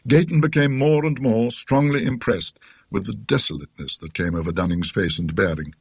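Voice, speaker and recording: A British man with a husky voice narrating a classic ghost story in a scholarly, dryly witty, deliberate manner. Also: lowish voice, dry recording.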